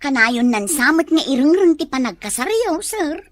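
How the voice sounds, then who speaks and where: A woman's voice: sits high, very animated, moderate pace, dry recording. A woman playing a respectful, concerned household helper in an Ilocano drama. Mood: concerned, deferential. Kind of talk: Ilocano radio-style drama acting.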